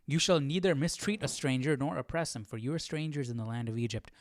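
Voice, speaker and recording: A man's voice: medium pitch, fast, no echo, studio quality. A man livestreaming a Bible study, commenting casually with puzzled questions in informal, slangy speech. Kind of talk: casual spontaneous commentary while reading.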